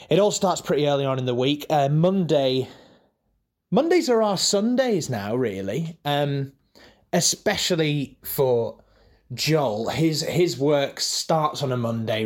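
A man talking casually and self-deprecatingly behind the scenes as a podcast director, rambling a little as he explains things. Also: mid-range voice. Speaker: podcast director, editor and voice actor.

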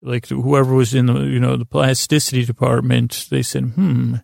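Guy podcast host, super creaky voice, monotone, rambles and restarts like a sleepy bedtime story. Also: mid-range voice, dry recording, studio quality. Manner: meandering, halting, trails off and restarts.

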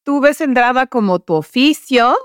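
Bright-pitched, clear woman interviewer. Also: lively intonation, moderate pace, dry recording, studio quality. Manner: engaged, informal, frequently affirming. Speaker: podcast host, interviewer. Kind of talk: casual conversational interview.

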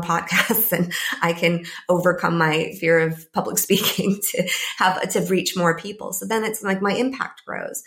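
A slightly croaky woman in her thirties speaks in a low-pitched voice. She talks as a podcast guest, pausing and reaching for words with easy fillers. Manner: thoughtful, many "kind of" fillers. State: croaky, a bit ill. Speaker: podcast guest, climate psychologist. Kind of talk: casual interview talk.

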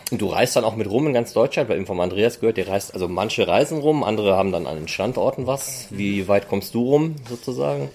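Male fan-podcast host, fairly low pitch, informal interviewing, rambling conversational questions. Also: fast, hardly any pauses, darkish tone. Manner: chatty, loosely phrased questions.

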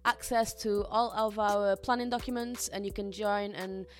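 A woman's voice: mid-range voice, fairly even intonation, normal speed. A woman speaking casually as the co-host of a podcast.

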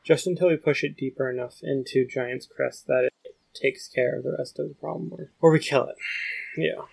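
A man's voice: mid-range voice, steady tone, normal speed, vocal fry, a little mellow, husky, low-quality recording. A man playing a blunt, wary monster investigator in an audio drama, talking casually. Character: blunt, wary male radio station friend and monster investigator.

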